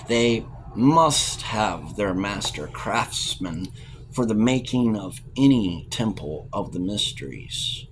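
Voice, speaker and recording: A man reading a novel aloud as the narrator, in a measured, even way. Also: fairly low pitch, a little hiss or hum, rough recording. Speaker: audiobook reader.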